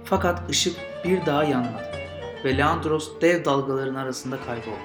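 A man's voice: mid-range voice, normal speed. A man, hosting and telling stories in an informal, narrated style. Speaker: podcast host and storyteller. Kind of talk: casual intro and narrated legend storytelling. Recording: roomy echo with guitar music.

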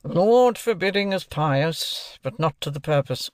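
A man's voice: a little high, lively intonation, measured pace, dry recording, clean studio sound, pitched above the performer's own voice. A man voicing a brusque, stern middle-aged lawyer who speaks in curt, clipped, commanding sentences. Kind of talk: audiobook character voice.

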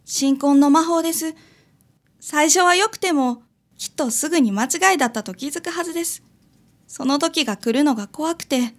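A woman's voice: sits high, normal speed. A woman playing a modest, insecure young newlywed wife in a radio drama, earnest, loving and self-effacing. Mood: worried.

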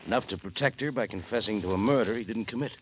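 A man's voice: fairly low pitch, fast, a little hiss or hum, close to an everyday voice. A man acting a wry, tough American adventurer in a hard-boiled 1950s radio detective drama. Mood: knowing, casual. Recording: muffled old radio, music behind.

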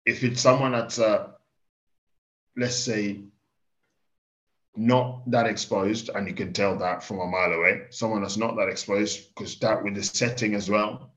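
A man in his thirties, born in Kenya and speaking with an English accent, giving candid, animated stories as a guest in a casual interview. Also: lowish voice. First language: Swahili. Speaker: farmer guest. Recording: dull lo-fi podcast recording.

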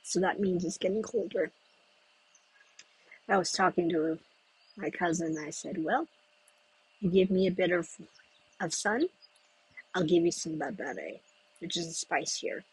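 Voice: medium pitch, lively intonation, husky, low-quality recording. A podcast host who grew up in the United States, chatting in a meandering way outdoors in a breezy spot where people pass by. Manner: chatty, meandering, thinks aloud with pauses. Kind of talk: rambling solo podcast chat.

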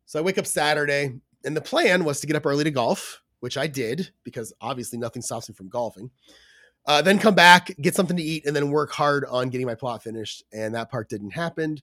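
A man with a somewhat high voice made scratchy by a cold talks in loose, rambling podcast chat, full of fillers and restarts. The sound is dry and close, with no room around it. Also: fairly quick, a bit bright, dry recording. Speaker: podcast co-host. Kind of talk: casual chat.

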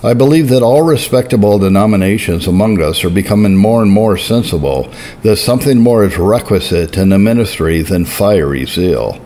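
Male reader, low-pitched, solemn and measured, faint hiss under the voice. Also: expressive, dark tone. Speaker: narrator reading historical religious writing. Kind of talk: measured scripted reading.

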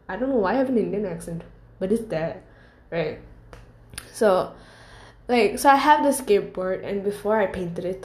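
A woman's voice: medium pitch, a little mellow. A young woman telling stories casually, swearing freely, laughing often and wandering off into asides. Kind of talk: casual storytelling monologue. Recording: roomy echo.

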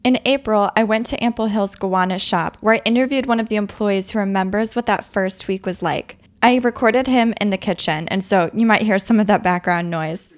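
A woman's voice: mid-range voice, fairly quick, few pauses. A woman hosting a podcast, reading scripted narration that tells a story. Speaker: podcast host and interviewer.

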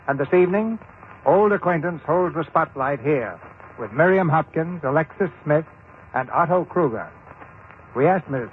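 A man with an American accent giving formal, measured scripted narration as host of a 1940s radio theater. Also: on the high side. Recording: muffled, noisy old radio recording.

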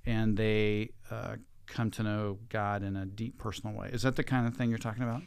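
A man's voice: lowish voice, flat delivery, fairly quick, creaky, clean tone, no room sound. A man talking informally as a pastor and podcast host in a conversational interview.

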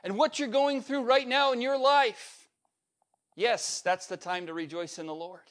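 A male pastor preaching a sermon, building up to a repeated refrain and swinging between loud peaks and quiet, low asides. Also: measured pace. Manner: emphatic preacher with swings in pitch and loudness, repetitive refrain.

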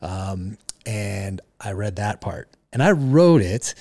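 A man who is an author, chatting casually in an interview and telling relaxed stories with plenty of fillers. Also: fairly low pitch, slow, no room sound, studio quality. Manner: relaxed storytelling with frequent fillers.

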